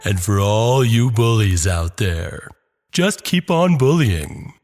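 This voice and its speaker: Slow, low-voiced male horror narrator. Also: animated, darkish tone. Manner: deliberate, dramatic storytelling with ominous emphasis. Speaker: narrator and host of a horror podcast. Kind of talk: scripted horror story narration. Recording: music under the voice. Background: music.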